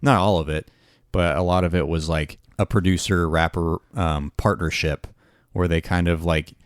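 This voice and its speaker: A man's voice: low-pitched, steady tone, normal speed, no room sound, clean studio sound. A young man co-hosting a music podcast, giving hedged opinions with frequent restarts. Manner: hesitant, restarts, hedging opinions. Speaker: podcast co-host. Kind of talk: casual music chat.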